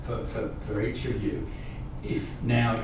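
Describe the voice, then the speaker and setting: A man hosting an interview, speaking loudly and haltingly with many repeated words. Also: mid-range voice, level tone. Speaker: host, interviewer. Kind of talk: interview conversation. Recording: echoey room, far from the mic, clear noise.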